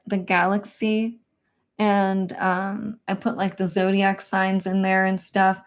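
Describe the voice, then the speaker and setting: Soft-spoken woman chatting on a livestream. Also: mid-range voice. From Kansas. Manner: soft-spoken, hesitant, many ums and likes. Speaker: livestream host. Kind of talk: casual livestream chat, reading viewer comments.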